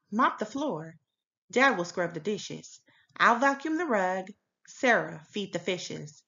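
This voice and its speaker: Woman acting bossy frazzled parent, storybook style, emphatic, swooping pitch, slow deliberate pace, slightly dull recording. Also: sharp tone, full character voice. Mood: bossy, hurried. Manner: emphatic, exasperated, commanding. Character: frazzled, bossy parent rallying the family to clean. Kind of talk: children's storybook read-aloud.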